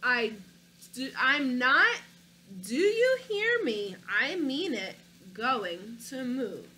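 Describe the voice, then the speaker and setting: A woman teacher reading a picture book aloud to children, with an expressive storytelling delivery, stopping to ask her young listeners questions. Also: high-pitched, drawn-out words, slight background noise.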